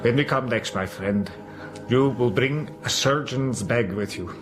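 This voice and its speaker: Man acting a slow, grave old professor. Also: medium pitch, close to an everyday voice. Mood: solemn, firm. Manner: deliberate, halting, repeats words for weight. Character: grave elderly foreign professor, vampire hunter, patient but relentless. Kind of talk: gothic audio drama acting. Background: dramatic music.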